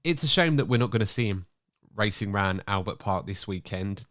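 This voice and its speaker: A man hosting a podcast, chatty and upbeat, a little croaky from a cold. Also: lowish voice, expressive, fairly quick, a bit bright, no echo, clean studio sound. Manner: chatty, upbeat, running the show. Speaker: podcast host. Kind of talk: casual podcast chat.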